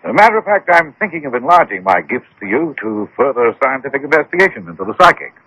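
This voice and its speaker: Bright, raspy middle-aged American businessman. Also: mid-range voice, big pitch swings, fast talker, full character voice. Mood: grateful, earnest. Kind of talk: radio drama acting. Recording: dull, band-limited old radio broadcast.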